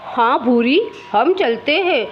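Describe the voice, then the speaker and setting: A woman's voice: sits high, expressive, slow. A woman voicing a supportive, sensible grown bird in a children's cartoon, speaking earnestly. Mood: friendly, reassuring. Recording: muffled, with music behind.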